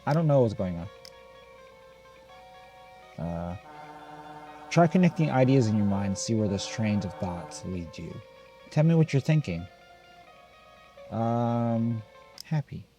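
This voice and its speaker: A man playing a game on a recording, reading its text aloud and thinking out loud with some hesitation. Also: on the low side, sing-song, takes it slowly, long pauses, faint music behind. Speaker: gamer reading and reacting to a visual novel.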